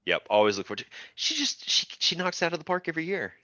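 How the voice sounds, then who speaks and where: A man's voice: a little high, huge pitch swings, racing, bright, forceful tone. A man guest on a podcast, chatting casually, laughing often and joking at his own expense. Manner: laughs often, self-deprecating. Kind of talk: casual chat. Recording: dull, dry lo-fi audio.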